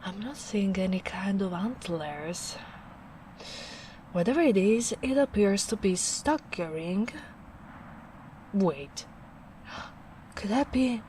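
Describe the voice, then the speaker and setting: A woman playing a gentle, caring big ogre in a soft-spoken ASMR roleplay, musing in an unhurried way. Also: on the low side, a little hiss or hum, poor audio. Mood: curious, wary.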